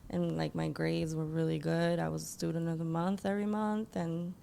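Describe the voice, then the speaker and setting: A woman from Colombia, a podcast guest, giving relaxed, brief answers in casual conversation. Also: deep voice, normal speed, creaky, slight background noise. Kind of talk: casual interview chat.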